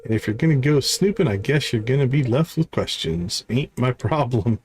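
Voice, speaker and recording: A man's voice: mid-range voice, expressive, moderate pace, darkish tone, natural voice. Man reading as a guarded, folksy sailor, noisy background. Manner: folksy, dropped-grammar sailor talk. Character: gruff, guarded sailor son grieving his father. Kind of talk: reading video game dialogue aloud.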